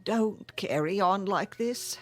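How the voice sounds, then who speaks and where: A woman's voice: medium pitch, slow, natural voice. An actress playing a worried older mother in a radio drama, anxious, chiding and pleading. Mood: weary, chiding. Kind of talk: old-time radio drama acting. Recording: radio drama with music underneath.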